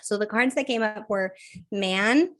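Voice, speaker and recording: A woman co-hosting a podcast in casual chat, thinking aloud with fillers and frequent laughs, her voice a little croaky. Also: medium pitch, measured pace. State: slightly croaky voice. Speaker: podcast co-host.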